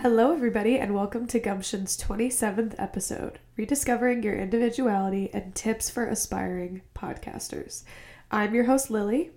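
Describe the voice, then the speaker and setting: A young woman hosting a podcast, talking in a chatty, upbeat way with quick asides. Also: mid-range voice, mellow, soft background music. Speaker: podcast host. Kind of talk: casual podcast interview. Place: home in a cold city.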